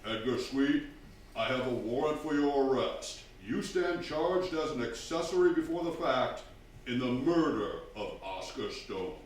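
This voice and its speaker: An actor playing an authoritative, dry police inspector in a stage radio drama, speaking in an official, measured way. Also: male voice, mid-range voice, expressive, loud music behind the voice, natural voice. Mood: stern. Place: theatre stage, live performance. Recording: very echoey hall, distant mic, clear noise.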